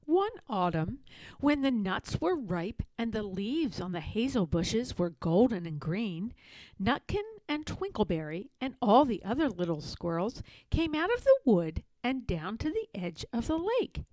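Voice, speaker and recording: A woman narrating a children's storybook aloud in an expressive, measured read-aloud manner. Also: fairly high pitch, dry recording. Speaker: storybook reader.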